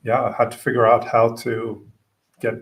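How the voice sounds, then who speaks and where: A man from Finland whose first languages are Swedish and Finnish, a podcast guest chatting casually, halting with many fillers and restarts. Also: medium pitch, monotone, creaky, far from the mic. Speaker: podcast guest, gym owner. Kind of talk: casual interview chat.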